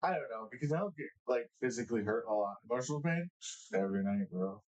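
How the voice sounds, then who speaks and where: A man co-hosting a casual podcast chat, playful and slangy, steering the questions with jumpy, lively talk. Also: mid-range voice, fast, muffled recording. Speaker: podcast co-host.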